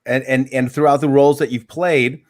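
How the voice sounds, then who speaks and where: Man, mid pitch, interview host, halting, lots of restarts. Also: fairly even intonation. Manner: halting, many restarts and fillers. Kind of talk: casual interview.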